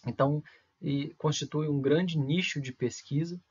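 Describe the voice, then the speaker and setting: A man's voice: a little high, a little slow, darkish tone, husky, dull audio. A man from in Brazil, lecturing to students in a hesitant way, with many fillers, restarts and tag questions. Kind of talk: recorded university lecture.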